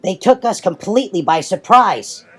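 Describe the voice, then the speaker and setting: A man's voice: high voice, lively intonation, moderate pace, a bit bright, husky, pitched above the performer's own voice, heavily acted. A man playing an anxious male soldier with a tense, formal manner. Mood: reporting.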